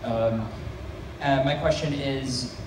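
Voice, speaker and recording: A man's voice: mid-range voice, fairly even intonation, slow pace. A man from the audience asking the panel a question, hesitant and full of ums. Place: convention panel hall. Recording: echoey hall, distant mic, noisy ambience.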